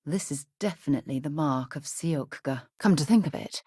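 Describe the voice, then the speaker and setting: Sci-fi game space explorer lady, ex military, deep-ish voice, composed n wry, articulate, super dry recording. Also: mellow, husky, no room sound. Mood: composed, earnest. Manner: articulate, measured, earnest with dry wit. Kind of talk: video game dialogue acting.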